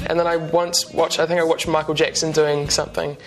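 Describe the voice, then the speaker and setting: A man's voice: on the high side, a little fast, rough recording. Laid-back young Kiwi man. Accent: New Zealand. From New Zealand. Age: 20s. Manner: relaxed, rambling, lots of ums and restarts. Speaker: musician guest. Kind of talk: casual interview chat. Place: TV studio couch.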